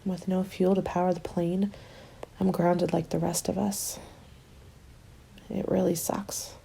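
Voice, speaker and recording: A woman plays a weary female survivor holed up through a zombie winter. Her voice is lowish and very husky, with a creaky edge. She confides softly and intimately, in the manner of an ASMR roleplay. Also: little pitch movement, measured pace, exaggerated voice. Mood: weary, restless. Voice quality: soft, breathy, husky. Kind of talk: soft-spoken ASMR roleplay monologue.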